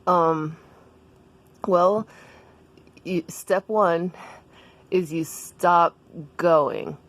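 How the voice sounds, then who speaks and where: Woman as a slow, low-voiced slacker girl. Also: steady tone. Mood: casual, coaxing. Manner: drawling, casual, slangy. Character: laid-back, blunt, sweary slacker girl, school age. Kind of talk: comic storytelling dialogue.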